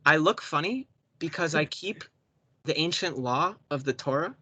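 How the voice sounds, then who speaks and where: A man's voice: a little high, animated, moderate pace, bright, forceful tone, husky. A young man guesting on a casual podcast over a voice call, speaking in slangy, playfully sarcastic banter.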